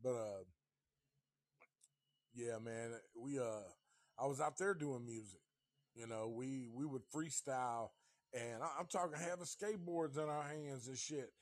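A man's voice: mid-range voice, measured pace, pauses often, no echo. A fifty-year-old man from Tennessee hosting a casual livestream chat, rambling and swearing in a laid-back way. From Nashville, Tennessee. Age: 50s. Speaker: livestream host.

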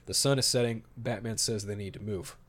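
A man hosts a podcast in a fairly low voice. He shifts from reading out summaries to casual, opinionated commentary, stressing his points emphatically and swearing mildly. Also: fairly even intonation, brisk. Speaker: podcast host. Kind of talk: podcast talk mixing read-out storyboard summary and casual commentary.

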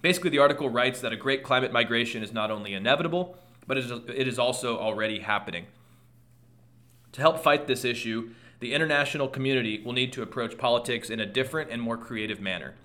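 A man talks through world news on his own podcast, thinking aloud with restarts and asides. His voice is bright and forward, with an ordinary middle pitch. He is losing his voice. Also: fast. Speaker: podcast host. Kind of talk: solo news commentary podcast.

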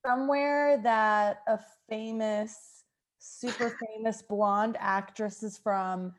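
Woman w high voice just chatting w friends, kinda teasing. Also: fairly even intonation, takes it slowly. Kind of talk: casual group chat.

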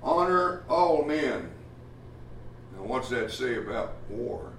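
A man's voice: mid-range voice, very animated, fairly bright tone, slight background noise. Folksy preacher man in an echoey church. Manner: plainspoken, folksy, halting with many uh fillers. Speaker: pastor. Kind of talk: sermon. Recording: echoey room from far off, faint ambience.

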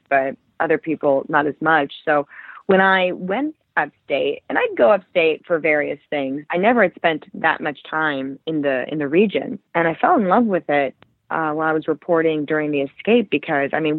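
A woman's voice: low-pitched, expressive, moderate pace, no room sound. Woman author on a tinny phone line. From Ohio, Cleveland area. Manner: thoughtful, many restarts and fillers. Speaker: author and journalist, guest. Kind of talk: conversational interview.

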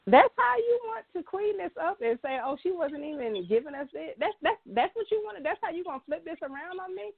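A woman's voice: extremely high pitch, animated, fairly quick, gravelly, few pauses, dry recording. A woman co-hosting a casual podcast, congested from a cold, ranting with dramatic mock outrage. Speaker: co-host. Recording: dry phone-line sound.

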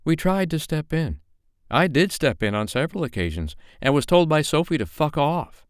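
A man's voice: a little high, big pitch swings, moderate pace, clean tone, dry recording, studio quality, pitched above the performer's own voice. A man voicing a haughty, catty woman in an audiobook, irritated and scornful in manner. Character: haughty, catty statuesque woman, sharp and dismissive.

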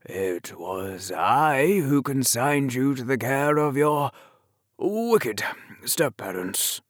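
A man voicing a rambling, apologetic old male wizard headmaster, halting and hesitant, full of fillers. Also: mid-range voice, big pitch swings, dry recording, clean studio sound, voice pushed up.

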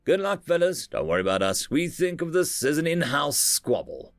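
Casting: man as cocky, easygoing alien fleet officer, animated raised voice, space-opera audiobook. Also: a little high, normal speed, no echo, faint music behind, voice pushed up. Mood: breezy, amused. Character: male alien ship commander, breezy, smug, booming over ship.

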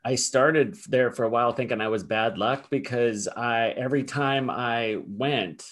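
A man's voice: medium pitch, measured pace, fairly bright tone. A man hosting a podcast, asking questions in a friendly, chatty way and laughing easily. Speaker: podcast host. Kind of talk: casual interview.

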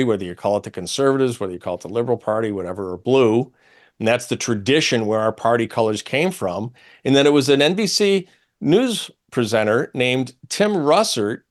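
A middle-aged American man talking as an opinionated radio host, explaining things in an emphatic, pedantic way. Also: mid-range voice. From United States.

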